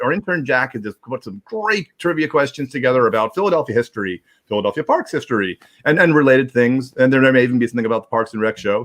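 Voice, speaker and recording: A man's voice: medium pitch, fairly bright tone. A man hosting a livestream in casual, rambling talk, often repeating words as he goes. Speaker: host of a livestream. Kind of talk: casual chat.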